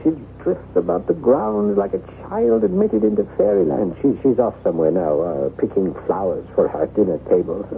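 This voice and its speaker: An actor playing a besotted, guilt-haunted lord of a chateau in an effusive, theatrical radio drama style. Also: male voice, mid-range voice, lively intonation, moderate pace, vocal fry, hardly any pauses. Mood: rapturous. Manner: theatrical, effusive, gushing over his bride. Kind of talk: 1970s radio drama acting. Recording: tinny, band-limited old radio recording with hiss.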